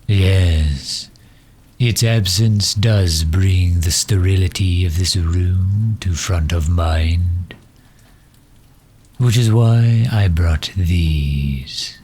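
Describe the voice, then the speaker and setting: A man's voice: low-pitched, extremely slow, darkish tone, breathy edge, exaggerated voice. A man playing a genteel, erudite villain in an ASMR roleplay, speaking formally and precisely with polite condescension, a courteous and misunderstood sort. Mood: gentle, curious.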